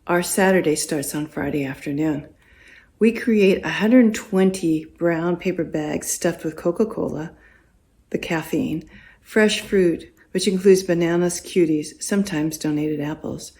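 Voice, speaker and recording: An older American woman reading a prepared personal testimony as a volunteer, in a deliberate, expressive storytelling way with pauses. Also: lowish voice. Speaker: congregation volunteer giving testimony.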